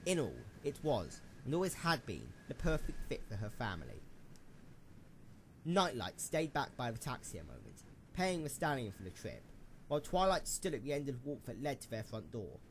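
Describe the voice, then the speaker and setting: Male narrator, mid-pitched, very expressive melody, dramatic scripted storytelling. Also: moderate pace, slight background noise.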